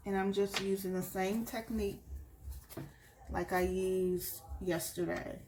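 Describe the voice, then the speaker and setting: A woman talks unhurriedly through her craft project, her voice at an ordinary middle pitch. She sounds a little sniffly from allergies. A faint background hiss sits under the home recording. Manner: slow, relaxed, talks while working. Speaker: crafter showing a tumbler project. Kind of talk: casual craft tutorial.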